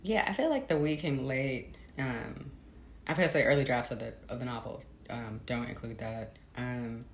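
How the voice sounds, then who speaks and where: A woman's voice: rumbling low, normal speed, vocal fry, echoey room, slight background noise. A woman author giving reflective, conversational interview answers in a thoughtful, hesitant manner. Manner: thoughtful, hesitant, often laughing lightly. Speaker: guest author.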